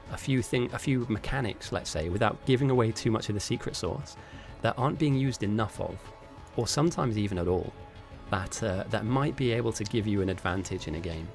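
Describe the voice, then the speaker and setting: Hoarse man chatting on a game stream. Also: medium pitch, darkish tone. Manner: chatty and rambling, with frequent restarts. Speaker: esports caster and streamer. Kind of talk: casual live-stream commentary, answering chat.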